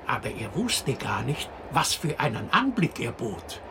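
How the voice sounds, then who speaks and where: Wry radio-drama storyteller, unhurried and very expressive, clear background noise. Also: male voice, mid-range voice. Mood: wry storytelling. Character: wry, unhurried male teller of an absurd fairy tale. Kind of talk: fairy-tale narration in a radio drama.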